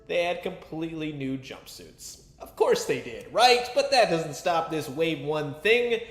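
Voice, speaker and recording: Man, higher-pitched voice, enthusiastic collector, casual review commentary, colloquial, noticeable room echo. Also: sing-song, unhurried, fairly bright tone. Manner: chatty, enthusiastic fan talk with colloquial asides.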